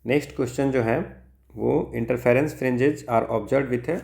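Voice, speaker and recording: A man's voice: mid-range voice, fairly even intonation, slow pace, creaky, darkish tone, roomy sound. A man with an Indian accent working through exam problems as a tutor, thinking aloud step by step and often checking in with short tag words. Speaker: physics tutor. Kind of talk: exam problem-solving lesson, mixing Hindi and English.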